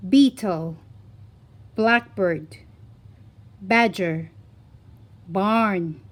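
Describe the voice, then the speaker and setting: A woman reading a scripted phonics lesson for young children, deliberately enunciating single words in an instructional way. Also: mid-range voice. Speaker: children's phonics teacher and reader.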